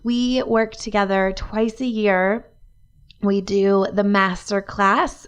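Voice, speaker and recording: A woman hosting her own podcast, her voice hoarse from a cold, chatting in an upbeat way and laughing often. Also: mid-range voice. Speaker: podcast host, life and business coach. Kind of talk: solo podcast talk.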